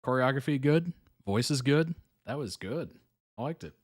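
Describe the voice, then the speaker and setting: A man hosting a reaction video, giving relaxed, chuckling commentary in short approving remarks. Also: mid-range voice, normal speed, no room sound, studio quality.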